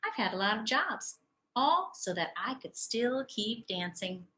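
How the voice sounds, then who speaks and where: Storybook role: older female dance teacher, done by a woman reader, kindly, wise, slow and deliberate, clear bright tone. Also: fairly high pitch, big pitch swings, heavily acted. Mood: warm, reflective.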